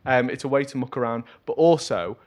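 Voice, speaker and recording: A man with a mid-pitched voice talks like a comedian being interviewed. He rambles in an animated way, wandering off and poking fun at himself in loose, casual conversation. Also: a little fast. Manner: rambling, animated, self-mocking. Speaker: musical comedian, interview guest. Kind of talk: casual comic chat.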